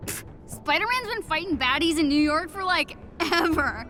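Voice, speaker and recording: A woman's voice: very high-pitched, lively intonation, moderate pace, sharp tone, faint music behind, heavily acted. A woman playing a friendly, eager, slightly awkward teenage superhero in a video game, casual and earnest. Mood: cheerful. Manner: casual, earnest, giggly asides.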